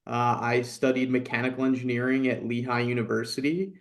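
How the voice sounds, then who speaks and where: A man's voice: medium pitch, fairly even intonation, brisk. A man in his thirties from New York, speaking as a student panelist and introducing himself. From New York, United States. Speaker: student panelist, army veteran.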